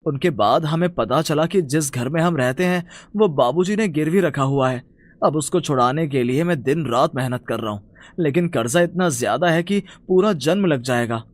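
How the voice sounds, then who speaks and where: A man's voice: on the high side, normal speed, dry recording, natural voice. A man playing an earnest, dutiful young village son in a cartoon fairy tale, speaking emotively. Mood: troubled.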